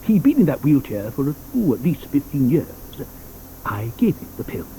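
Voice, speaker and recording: An actor playing an eccentric, theatrical chocolate inventor who hesitates with many "uh"s and tells stories full of wonder. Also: male voice, mid-range voice, very animated, normal speed, background noise. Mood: storytelling. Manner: hesitant, with frequent "uh"s, then storytelling with wonder. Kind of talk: 1980s audio drama acting. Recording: studio audio drama with soft music underneath.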